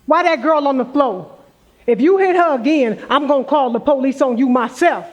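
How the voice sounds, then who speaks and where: Male actor as protective old granny, high voice, folksy, weary, stage play. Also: moderate pace. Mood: stern, scolding. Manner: folksy, scolding, plain-spoken. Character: protective, plain-spoken grandmother, weary and caring.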